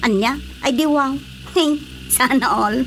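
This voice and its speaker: High-voiced, teasing Ilocano young woman. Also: a little slow, a bit bright, slight background noise. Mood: teasing, coy. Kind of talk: Filipino radio drama comedy acting.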